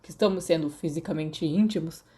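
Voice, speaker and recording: A woman voicing a shy, dry-witted teenage boy in an audiobook, deadpan and easily embarrassed. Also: low-pitched, fairly quick, slightly rough. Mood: dry, exasperated. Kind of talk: audiobook character dialogue.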